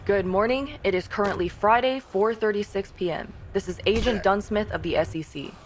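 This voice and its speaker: A woman's voice: mid-range voice, moderate pace, close to an everyday voice. A businesslike woman investigator from a government agency , played by an actress. She is a game character, heard with some noise in the background. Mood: formal, stern. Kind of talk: game dialogue acting.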